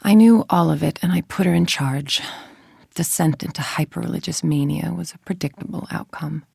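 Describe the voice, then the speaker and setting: An actress voicing a cold, calculating middle-aged villain scientist in a video game, with a clipped, controlled, authoritative and menacing delivery. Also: female voice, sits low, normal speed, vocal fry, darkish tone, breathy edge, no room sound, clean studio sound, full character voice. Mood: cold, measured.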